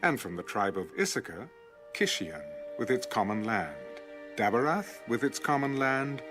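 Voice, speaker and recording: A man reading Bible passages aloud in a measured, even recitation, as an audio Bible narrator. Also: mid-range voice. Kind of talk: scripted scripture reading. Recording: music bed under the reading. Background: background music.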